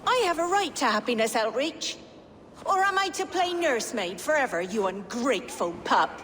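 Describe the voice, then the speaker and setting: Proud medieval noblewoman, passionate, swooping high voice, reverberant game scene. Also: sing-song, normal speed, a bit bright, a little hiss or hum. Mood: indignant. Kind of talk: dramatic video game acting. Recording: reverberant game audio, faint ambience.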